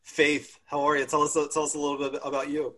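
Husky guy hosting a chill panel talk, upbeat, laughs a lot, says stuff twice. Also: a little high, completely flat delivery, fairly quick, fairly bright tone. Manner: upbeat, laughs often, repeats words. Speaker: panel host. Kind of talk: casual panel chat.

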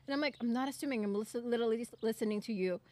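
Animated young woman from Ecuador. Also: a little high, rapid-fire. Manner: animated storytelling, acts out quoted people. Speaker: podcast co-host. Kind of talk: casual chat.

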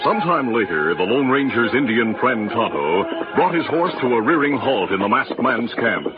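A man's voice: medium pitch, sing-song, moderate pace. Male radio western narrator, muffled, over music. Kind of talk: dramatic 1940s-style radio western narration. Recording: muffled vintage radio, loud music behind.

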